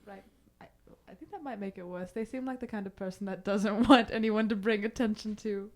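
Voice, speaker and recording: A woman's voice: mid-range voice, fairly quick. A woman playing a tabletop game, chatting casually and jokingly.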